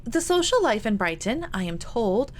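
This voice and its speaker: A woman voicing an energetic, cheerful young married lady in an audiobook, chatty and encouraging. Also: fairly high pitch, normal speed, slight background noise, pitched above the performer's own voice. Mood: animated explaining. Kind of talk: audiobook character dialogue.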